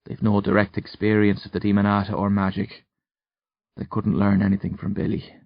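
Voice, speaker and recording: A man's voice: fairly low pitch, completely flat delivery, fairly quick, vocal fry, dry recording, highs cut off. Man playing a weary, troubled man. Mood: thoughtful, guarded. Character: weary, troubled man shaken after a nightmare.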